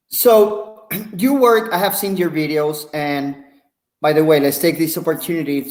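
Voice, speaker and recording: Puerto rican accented guy hosting a chat, asks questions casually, really roomy echo. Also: on the high side. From Puerto Rico. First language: Spanish. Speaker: interview host. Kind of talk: casual interview. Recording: echoey, roomy sound.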